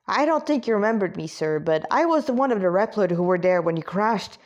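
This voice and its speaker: A woman's voice: mid-range voice, lively intonation, fairly quick, muffled recording, lightly acted. A woman reads as an earnest android guard in a sci-fi story. The soldier speaks respectfully and a little bashfully, hesitating and rambling to a superior.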